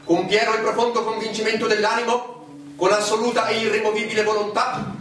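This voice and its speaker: Out of a deep echo comes a solemn lodge initiate in a stage play. The voice is strikingly high and flat in melody, measured and ceremonial. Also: male voice. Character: solemn initiate swearing a secret lodge oath. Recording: very echoey stage, distant, faint noise.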